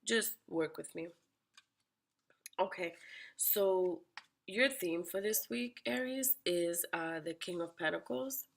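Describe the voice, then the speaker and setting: A woman giving a weekly tarot horoscope reading, direct and questioning, her voice raspy from being under the weather. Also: lowish voice, slow pace, pauses often. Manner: direct, questioning, addresses the listener by sign. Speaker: tarot reader.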